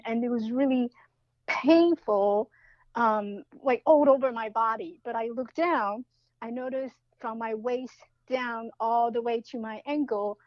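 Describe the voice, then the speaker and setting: A woman's voice: on the high side, dry recording, dull audio. A woman, telling a personal story as a podcast guest in an earnest way, often restarting her phrases. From Taiwan. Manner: earnest, with frequent restarts and fillers.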